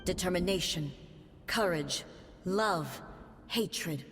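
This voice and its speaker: A woman playing a proud female warrior deity in a video game, speaking in a formal, stately, deliberate manner with solemn gravity. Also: on the low side, husky, soft background music. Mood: solemn, imperious. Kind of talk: dramatic video game acting.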